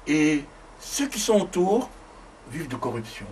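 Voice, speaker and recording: A man's voice: measured pace, a little hiss or hum. A man from the DR Congo in a casual conversation who talks emphatically and bursts into exclamations. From Democratic Republic of the Congo. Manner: emphatic, exclamatory, jumps high when excited. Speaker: conversation partner. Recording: distant mic with faint ambience.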